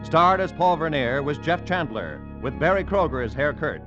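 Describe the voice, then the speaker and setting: Old timey radio announcer guy, lively polished delivery, music under him, lo-fi. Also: on the high side, moderate pace. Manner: formal, emphatic, polished. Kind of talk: 1940s radio announcing, scripted. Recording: band-limited old radio recording.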